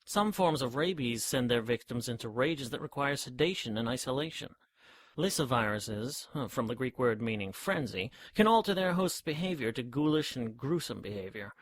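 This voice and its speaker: A man's voice: medium pitch, lively intonation, normal speed, dry recording, lightly acted. An actor playing an eager, eccentric scientist in a period radio drama, precise and earnest as he lectures. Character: eager eccentric male scientist, brother of a detective.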